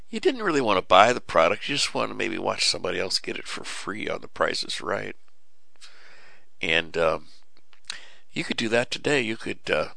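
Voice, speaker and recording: A middle-aged man with a very low, gravelly voice that carries a bright, hard edge, rambling at listeners in a folksy, preachy way with many fillers and restarts. His voice is starting to give way after long talking. The sound is dry and close, from a studio mic. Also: dry recording. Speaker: radio show host. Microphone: studio mic with cough button.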